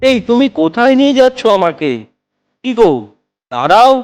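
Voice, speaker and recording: A frightened young woman in a horror cartoon, voiced by a woman, calling out anxiously in a very high voice. Faint music plays under her lines. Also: sing-song, normal speed, darkish tone.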